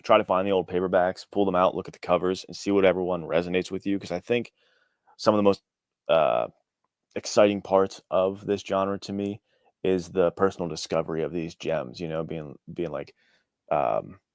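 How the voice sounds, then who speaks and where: Guy with a deep voice on a podcast, earnest, casual, figuring it out as he talks. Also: moderate pace, dry recording, clean studio sound. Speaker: author, podcast guest. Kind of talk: casual interview chat.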